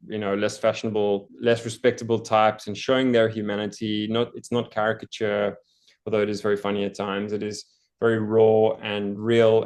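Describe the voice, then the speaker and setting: A man with a low, fairly flat voice talks through a classic novel in a relaxed chat. He is thoughtful, stopping often to restart a phrase or repeat a word before pushing on. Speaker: book channel host. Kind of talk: casual book discussion.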